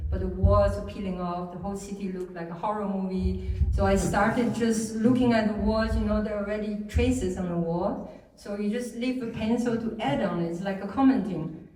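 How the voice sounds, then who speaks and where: A woman from China, an artist on a panel, answering slowly and searching for her words. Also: medium pitch, mellow. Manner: searching for words, many restarts. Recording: echoey room, mic far off.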